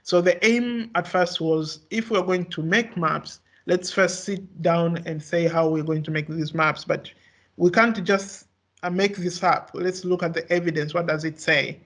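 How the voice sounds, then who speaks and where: A young man whose first language is Swahili gives an academic research talk, halting often with fillers and restarts. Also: sits high, fairly even intonation, a bit bright. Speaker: researcher presenting.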